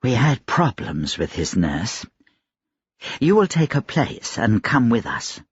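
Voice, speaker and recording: Man voicing a quiet, coldly menacing gentleman. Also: medium pitch, breathy edge, no echo, lo-fi sound, heavily acted. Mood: cold menace. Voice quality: quiet, steady. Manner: calm, collected, deliberate threats. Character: cold, educated, calmly menacing gentleman lord. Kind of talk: audiobook character dialogue.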